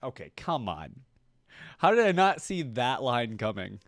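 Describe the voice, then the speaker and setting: A man's voice: medium pitch, sing-song, unhurried, a bit bright. A man giving casual reaction commentary as a video host, informal, slangy and rambling.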